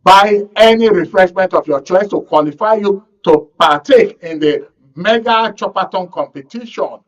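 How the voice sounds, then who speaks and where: A man's voice: sits high, moderate pace. A man with a Nigerian accent presenting a live radio talk show as its host. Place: radio studio. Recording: distant, slightly muffled studio audio.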